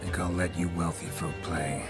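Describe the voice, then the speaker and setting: Gruffly terse hired monster slayer, very low pitch, deadpan, game drama under loud music. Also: male voice, normal speed. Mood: dry, wry. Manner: laconic, deadpan. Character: stoic, dry-witted male monster hunter for hire. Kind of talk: video game cutscene acting.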